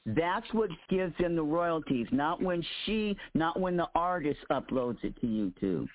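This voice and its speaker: A man co-hosting a radio talk show, patiently going back over his point. His voice is slightly high. It comes through a tinny, phone-like line. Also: lively intonation, measured pace. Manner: patient, repeats to explain. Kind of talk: explaining.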